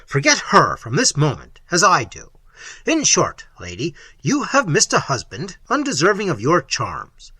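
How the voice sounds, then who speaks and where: A man voicing a tyrannical middle-aged prince, loud and commanding, with a husky voice. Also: a little high, huge pitch swings, a little slow, dry recording, heavily acted. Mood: domineering.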